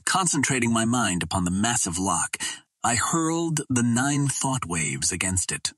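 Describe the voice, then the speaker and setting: A man reading a first-person adventure novel as an audiobook narrator, with measured, literary storytelling delivery. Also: medium pitch, very animated, a bit bright, slight background noise.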